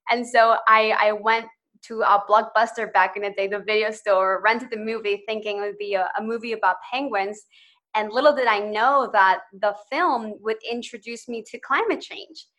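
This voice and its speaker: Bright-voiced young woman telling her story. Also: mid-range voice, steady tone, normal speed. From Ecuador. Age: 20s. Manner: earnest and eager, laughs lightly between phrases. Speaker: podcast guest, social entrepreneur. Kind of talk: personal storytelling in interview.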